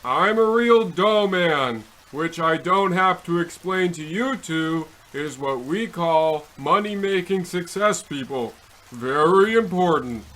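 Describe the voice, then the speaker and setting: A man's voice: on the high side, animated, slow pace, fairly bright tone, low-quality recording. A man playing a pompous, self-important millionaire businessman with stilted delivery in an old-time radio comedy.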